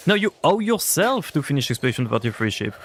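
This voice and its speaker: A man's voice: a little high, very animated, quick pace, a bit bright. A young man with a French accent talks in a loose, rambling way, replying to chat messages as he plays a game on stream. He sits at a desk microphone at home, with a faint noise under his voice. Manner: chatty, rambling, answers chat. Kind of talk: casual livestream chat while gaming.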